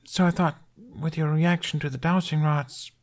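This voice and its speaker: A man voicing a brave, sleepy little boy who speaks high and eager. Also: steady tone, moderate pace, lightly acted. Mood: drowsy, apologetic.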